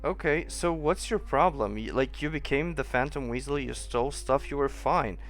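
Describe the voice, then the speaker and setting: A man's voice: mid-range voice, normal speed, soft background music. A man giving casual gameplay commentary, reacting aloud and puzzling over the story as he plays. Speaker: streamer playing a story game.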